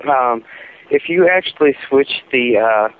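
A man leaves a voicemail over a tinny phone line, stumbling through his tip with constant fillers. His voice sits at an ordinary pitch. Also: animated. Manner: halting, many "uh" fillers. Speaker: caller.

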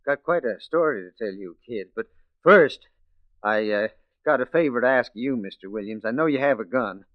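An American actor in 1940s radio style playing a shrewd police detective posing as a crook, commanding, deliberate and theatrical. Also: male voice, medium pitch, expressive, no room sound, lightly acted. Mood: cool, sly. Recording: dull vintage 1940s radio recording.

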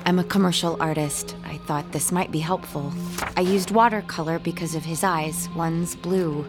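A woman's voice: deep voice, fairly even intonation, normal speed, music in the background, lightly acted. An actress playing an anxious young female artist, earnest and hesitant, in an audio drama.

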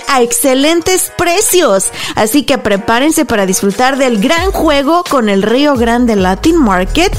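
Woman, radio host, fairly high pitch, conversational presenting, faint music behind. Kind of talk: conversational hosting and interviewing.

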